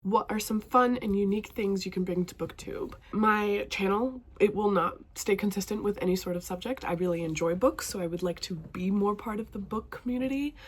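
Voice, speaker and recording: A woman's voice: mid-range voice, a little fast, few pauses, breathy edge. Chatty young woman, stuffy with a cold. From northwestern Montana. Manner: chatty and enthusiastic. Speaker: BookTube vlogger. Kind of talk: casual talking-to-camera vlog.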